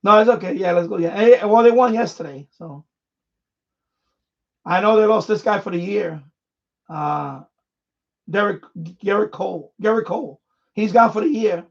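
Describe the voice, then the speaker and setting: High-pitched man on a lo-fi stream. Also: creaky. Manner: halting, repeats words and phrases often. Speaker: live stream host. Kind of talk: casual unscripted live-stream chat. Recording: dull, lo-fi microphone sound.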